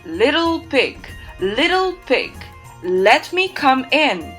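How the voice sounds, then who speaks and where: A woman's voice: sits high, sing-song, slow, soft background music, pitched above the performer's own voice, heavily acted. A woman voicing a big, bad, greedy male wolf who bellows threats. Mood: demanding. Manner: loud, blustering threats.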